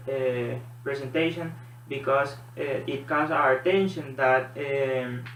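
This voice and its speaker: Slow, hesitant Honduran man, slightly high voice. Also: a bit bright. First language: Spanish. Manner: hesitant, frequent pauses, non-native English. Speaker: student presenter. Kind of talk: classroom presentation. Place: echoey room. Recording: echoey room, distant mic, background noise.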